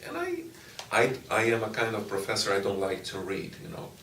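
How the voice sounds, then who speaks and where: A middle-aged man from Brazil whose first language is Portuguese, a university professor answering interview questions in a slow, thoughtful way with frequent pauses and restarts. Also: fairly low pitch, huge pitch swings, a bit bright, a little hiss or hum. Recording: echoey room, distant mic, faint ambience.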